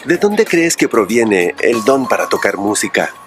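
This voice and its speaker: A man's voice: on the low side, sing-song, unhurried, faint music behind. A man narrating an evangelism video in an earnest preaching tone and interviewing people on the street.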